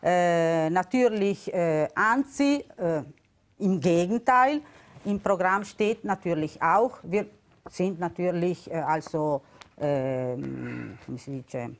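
A woman's voice: on the low side, slow. A woman in her fifties, an Italian native speaker, answering in a TV studio debate in German, hesitant and often searching for words. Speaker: election candidate. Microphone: lapel mic.

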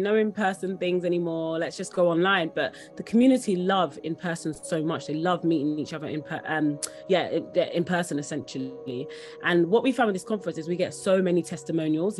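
A young woman speaking conversationally and enthusiastically as an interview guest on a video call, repeating words for emphasis. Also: lowish voice. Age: young adult. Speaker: interview guest, company founder.

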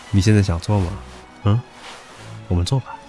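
A man's voice: fairly low pitch, mellow, natural voice. An actor in an audio drama playing a pushy, teasing young man who talks crudely and with swagger.

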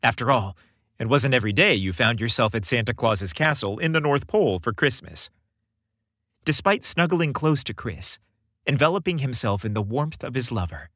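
A man's voice: medium pitch, big pitch swings, normal speed, fairly bright tone, dry recording, studio quality. A man reading a scripted story as a narrator, with dramatic storytelling delivery. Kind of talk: scripted audiobook-style narration.

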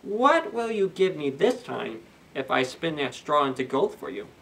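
A man's voice: fairly high pitch, very animated, vocal fry, a bit bright, heavily acted. A man voicing a sly, bargaining little fairy-tale imp man, drawing out his words in a scheming storybook voice. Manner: slow, drawn-out, scheming. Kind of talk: storybook character voice. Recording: slightly distant camera microphone.

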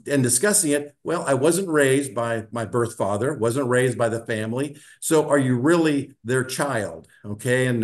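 An older man with an American accent giving a measured, halting personal account as a panelist on a video call. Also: mid-range voice. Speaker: panelist sharing personal story. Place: indoor room, video call. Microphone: video call microphone.

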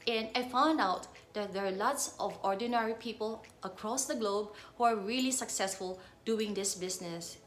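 A woman giving a steady, scripted-sounding testimonial from home. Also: mid-range voice. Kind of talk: promotional testimonial.

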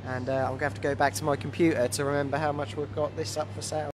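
A man in his thirties with a slightly croaky voice from a cold, casually presenting stock as a dealer on a live walkaround. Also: on the high side, flat delivery, rapid-fire. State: has a cold. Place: large indoor motorbike warehouse showroom. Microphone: handheld phone. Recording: noisy handheld phone in warehouse.

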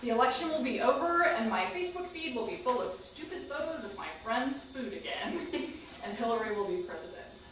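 A woman's voice: fairly high pitch, very animated, a little fast, fairly bright tone. A woman in her thirties reading a wry, emphatic personal monologue to a theater audience. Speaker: spoken-word storyteller on stage. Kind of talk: scripted personal monologue read aloud. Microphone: far camera microphone. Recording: echoey theater, distant mic, faint noise.

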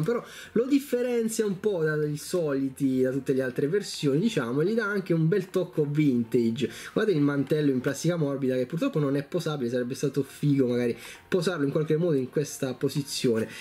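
A man's voice: on the high side, warm tone. A man giving a casual, enthusiastic product review, chatting informally to viewers and often repeating himself. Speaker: toy reviewer, video host.

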